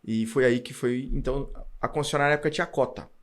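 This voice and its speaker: A man, a podcast guest telling his career story in an animated way. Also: medium pitch, fast. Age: 40s. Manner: animated storytelling, acts out past dialogue. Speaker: podcast guest, car dealer. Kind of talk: casual storytelling interview.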